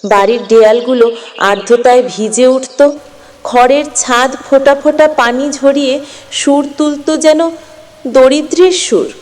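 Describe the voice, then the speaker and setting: A woman narrating a scripted story with measured, expressive phrasing. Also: sits high.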